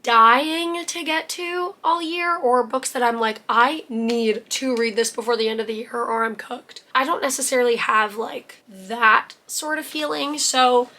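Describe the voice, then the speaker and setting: Young woman, hoarse, rusty voice, bright tone, fairly high, casual chatty vlog. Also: unhurried, hardly any pauses. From Canada. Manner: chatty and self-deprecating, with laughs and trailing asides. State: hoarse from laryngitis. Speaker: book vlogger. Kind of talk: casual chat to camera.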